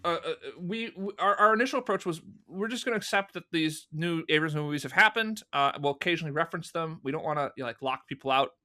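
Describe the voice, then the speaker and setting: A man's voice: a little high, fast talker, few pauses, sharp tone. A man being interviewed as a guest, explaining at length in a conversational way and acting out remembered remarks as he goes. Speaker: guest, fan audio drama producer. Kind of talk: conversational interview answers, storytelling.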